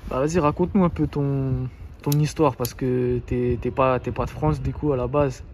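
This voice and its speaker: A man with a mid-pitched voice runs a casual interview, putting friendly, slangy questions to his guest. Faint outdoor street sound sits in the background. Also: fairly even intonation, moderate pace, a little mellow, slight background noise. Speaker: interviewer, show host.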